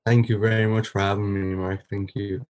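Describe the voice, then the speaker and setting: A man's voice: fairly low pitch, flat delivery, moderate pace, darkish tone, dull audio. Earnest young man telling his story. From Ireland. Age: 20s. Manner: earnest, searching for words with many restarts. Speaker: podcast guest. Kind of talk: personal testimony in an interview.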